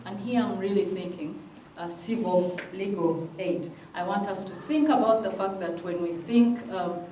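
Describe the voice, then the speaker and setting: A woman's voice: mid-range voice, normal speed, warm tone. A woman from Uganda, making earnest, insistent remarks from the floor at a conference on legal aid. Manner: earnest, insistent, repeats "I want us to think". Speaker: legal aid program worker, panel participant. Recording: echoey conference hall, mic at a distance, faint noise.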